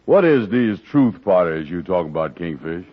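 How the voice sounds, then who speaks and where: An actor playing a curious, slow-witted friend in a 1940s radio comedy. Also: male voice, deep voice, big pitch swings. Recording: muffled old radio broadcast.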